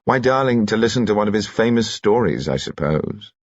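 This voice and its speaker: A man's voice: on the low side, lively intonation, a little fast, no room sound, dull audio, voice pushed up. A man voicing a reserved, dry-humored male detective inspector. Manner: dry, exasperated.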